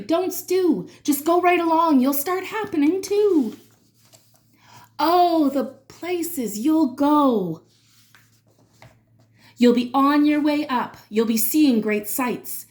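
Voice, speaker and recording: A woman reading rhyming verse aloud for children in an expressive, dramatic way, drawing out certain words. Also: sits high. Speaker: children's read-aloud reader.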